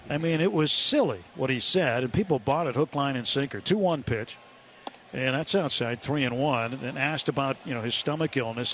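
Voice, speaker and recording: An American man doing live radio play-by-play of a baseball game, with unhurried pitch calls and pauses between phrases. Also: a bit bright. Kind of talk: live sports commentary. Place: ballpark broadcast booth. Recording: live stadium broadcast, ambient noise.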